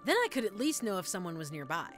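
A woman's voice: on the low side, very animated, fast talker, faint music behind. A woman playing a chatty, flustered young witch-in-training shopkeeper in an audio roleplay, with a theatrical, rambling manner. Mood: anxious, muttering. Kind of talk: scripted audio roleplay, addressed to the listener.

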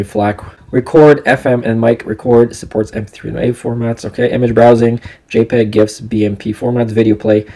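A man's voice: fairly low pitch, a little mellow. A man giving a casual product review in an unboxing video. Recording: clear background noise with clicks.

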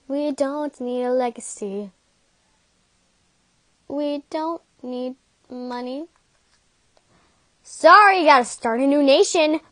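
A woman's voice: high voice, slow, long pauses, pushed and bright, no room sound, exaggerated voice, poor audio. A woman voicing brash, theatrical comic characters with exaggerated emphasis in an amateur fan dub. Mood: dramatic.

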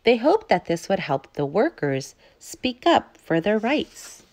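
A woman teacher reading aloud to young children, phrasing things clearly and putting questions to her listeners. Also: medium pitch, sing-song, slow, a little mellow, no echo. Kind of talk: children's read-aloud narration.

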